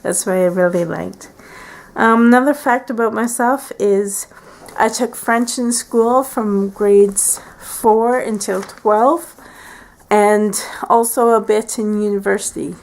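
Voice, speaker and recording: Woman, 40s, home vlog. Slow, hesitant, gravelly, lots of fillers. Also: medium pitch. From Canada. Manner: hesitant, many fillers and restarts. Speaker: beauty vlogger. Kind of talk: casual tag video chat. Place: at home by a window.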